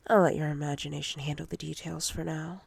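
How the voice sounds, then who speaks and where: A woman playing a dominant, possessive female boss in roleplay ASMR, commanding and teasing in a breathy, often whispered voice. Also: deep voice, little pitch movement, full character voice. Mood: possessive, threatening. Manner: slow, deliberate, commanding.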